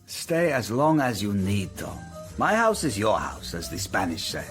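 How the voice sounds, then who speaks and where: A man's voice: on the low side, very animated, measured pace, faint music behind. An actor playing a hospitable, worldly man in a radio drama, talking in a knowing, speculative way. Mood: welcoming, wry.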